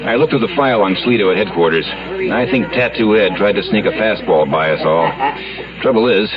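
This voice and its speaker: An actor playing a wry, hard-bitten police homicide lieutenant in a vintage radio crime drama, dry, sardonic and clipped. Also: male voice, on the low side, fairly quick, natural voice. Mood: grim. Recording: dull old radio recording with music behind.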